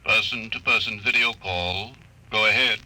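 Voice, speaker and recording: A man's voice: fairly low pitch, normal speed, close to an everyday voice. A man playing an impersonal, helpful talking computer service in an old radio drama, with a clipped announcer's delivery. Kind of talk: 1950s radio drama acting. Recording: noisy vintage 1950 radio broadcast.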